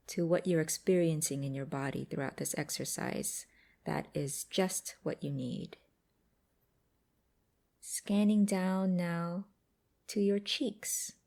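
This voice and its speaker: A woman guiding a mindfulness exercise in a gentle, unhurried, instructive way with pauses between phrases. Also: fairly low pitch, gravelly. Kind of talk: guided meditation narration.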